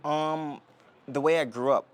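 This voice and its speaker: A young man from Jamaica talking as a podcast guest, relaxed and rambling with many fillers and restarts. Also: a little high, measured pace, smooth. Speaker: guest, Olympic sprinter. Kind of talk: casual interview talk. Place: indoor podcast setting.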